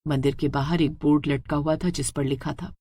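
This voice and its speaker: A woman's voice: sits low, fairly even intonation, normal speed, dark tone. A woman narrates a scripted horror story in a dramatic, suspenseful way.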